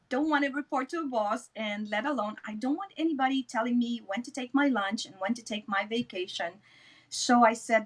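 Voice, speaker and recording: A woman's voice: a little high, a bit bright. A middle-aged woman from the Philippines with a Filipino accent, telling her personal story as an interview guest and repeating words for emphasis. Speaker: interview guest, network marketing business leader. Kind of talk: personal testimonial in an online interview.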